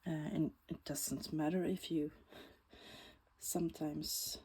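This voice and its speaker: A woman with a Swedish accent, Swedish native, talking through a bookbinding tutorial in a slow, thoughtful way that often drops to a soft whisper while she works. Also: sits low, level tone, husky, rough recording. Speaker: bookbinding crafter. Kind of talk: casual craft tutorial.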